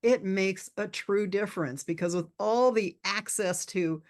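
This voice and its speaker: A woman's voice: mid-range voice, a little slow. An older woman who worked as a nurse for decades, talking earnestly on a podcast with many restarts. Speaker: guest, retired nurse. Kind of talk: podcast interview.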